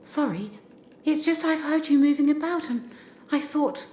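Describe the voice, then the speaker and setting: A woman's voice: high voice, normal speed, mellow, a little hiss or hum, lightly acted. A woman playing an anxious, curious new governess in an audio drama, earnest and questioning. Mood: hesitant. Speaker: amateur actor. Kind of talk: community audio drama acting.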